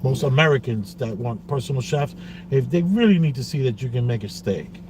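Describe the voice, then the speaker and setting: A man co-hosting a casual podcast chat, talking in an animated way and acting out what other people said. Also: medium pitch, brisk. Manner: animated, acts out quotes in other voices. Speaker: podcast co-host. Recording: noisy background.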